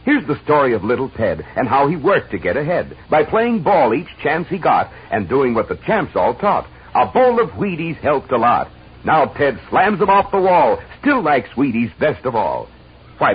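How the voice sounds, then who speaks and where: Old radio ad guy, raspy, super animated folksy sales patter, muffled sound. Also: a little high, normal speed. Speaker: commercial announcer. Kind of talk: 1950s radio advertising pitch. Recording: muffled 1950s radio recording.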